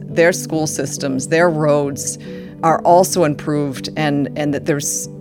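A woman, a refugee agency official, explaining policy thoughtfully with frequent fillers. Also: low voice. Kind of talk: interview explanation.

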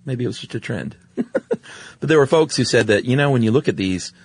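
A man's voice: medium pitch, expressive, brisk, husky, no room sound. An American man chatting informally as a podcast co-host, with a laid-back way of talking. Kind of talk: casual chat.

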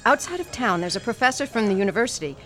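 A precise crime lab scientist, crisp and exact, with a fairly high, lively voice. Clear music sits under this radio drama. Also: female voice, quick pace.

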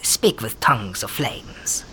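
Casting: cryptic rune-carved fantasy creature; slow, sharp, forceful delivery, terse and solemn. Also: female voice, very deep voice, sing-song, a little hiss or hum, full character voice. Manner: cryptic, terse, speaks in riddling verse. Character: cryptic rune-carved clawed non-human seer, terse and intense. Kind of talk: fantasy audio drama acting.

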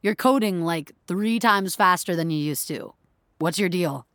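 Woman putting on a brash, lowered bro programmer. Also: measured pace, a bit bright, no room sound, clean studio sound. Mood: impressed, nosy. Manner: bro-ish, blunt, casual. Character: brash, casual young male programmer coworker.

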